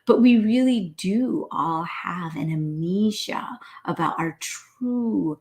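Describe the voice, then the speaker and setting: Woman host from New York, lively spiritual talk show, keeps asking "right?" and laughing. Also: takes it slowly. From New York, USA. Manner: animated, tags lines with "right?", laughs often; acts out quoted voices in a high pitch. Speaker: host, doctor. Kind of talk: casual spiritual talk to live viewers.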